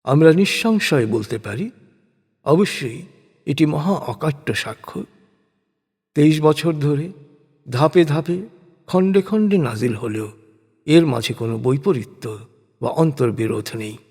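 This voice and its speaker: Husky man reciting scripture in long reverb. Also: fairly high pitch, lively intonation, unhurried, long pauses, a little mellow. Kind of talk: solemn scripted religious narration.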